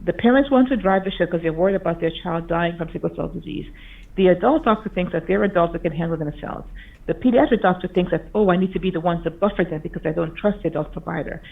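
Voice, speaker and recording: A woman physician giving an earnest, explanatory conference talk over slides from a video call. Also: fairly low pitch. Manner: earnest, explanatory, with occasional restarts. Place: remote video call presentation. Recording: tinny phone-like video call audio.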